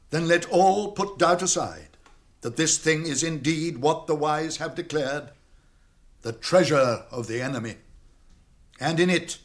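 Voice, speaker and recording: A grave old wizard, wise and commanding, with a British accent. He speaks very slowly and deliberately in a fairly high male voice, with the formal weight of a radio fantasy play. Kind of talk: classic British radio fantasy drama acting.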